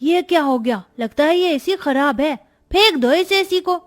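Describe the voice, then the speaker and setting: High-pitched eager little village boy played by a woman. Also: animated, unhurried. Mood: annoyed. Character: eager, demanding young village boy, poor family's son. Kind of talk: Hindi cartoon story acting.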